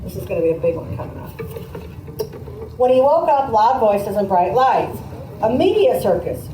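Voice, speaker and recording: A woman's voice: fairly high pitch, very animated, moderate pace, warm tone. Female teacher doing a conversational read-aloud, explanatory and questioning, recorded at a distance with some background noise. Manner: explanatory, prompting listeners with questions. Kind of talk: reading aloud to children with conversational asides. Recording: roomy, distant mic, noisy room.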